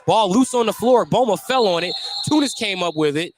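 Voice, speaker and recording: A man's voice: high voice, expressive, a little fast. A man doing live radio play-by-play of a basketball game in clipped, descriptive running calls. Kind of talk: live radio sports commentary.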